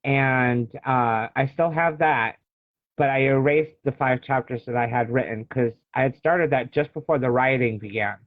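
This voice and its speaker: A man's voice: medium pitch, moderate pace, no room sound. An American man being interviewed as an author, talking casually and explaining at length like a storyteller. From United States. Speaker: guest author. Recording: thin phone-like call audio.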